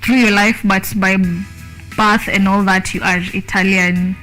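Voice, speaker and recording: A woman's voice: medium pitch, fairly even intonation, a little slow, a bit bright, faint music behind. A Kenyan woman hosting a morning show, upbeat and encouraging as she interviews a guest. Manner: upbeat, encouraging, frequent mm-hmm. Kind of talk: studio interview.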